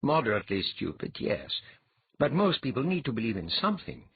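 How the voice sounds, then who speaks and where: A husky-voiced audiobook reader voicing a sly older mentor with a dry, easygoing manner. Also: mid-range voice, big pitch swings, a little fast, phone-quality sound, pitched above the performer's own voice, heavily acted.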